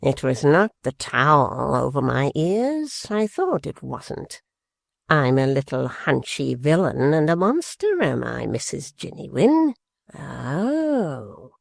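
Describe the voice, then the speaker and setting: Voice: mid-range voice, sing-song, creaky, no echo, clean studio sound, heavily acted. A reader voicing Quilp, a malicious, cunning male dwarf who drawls with false affection. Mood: gloating. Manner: slow, mocking, falsely affectionate.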